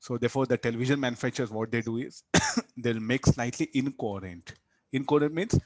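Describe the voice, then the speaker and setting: A man with a South Indian accent lectures as a physics teacher, in a medium-pitched voice. He often repeats his words and ends sentences with little questioning tags to draw students in. The sound is dry, with hardly any room echo. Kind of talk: interactive classroom lecture.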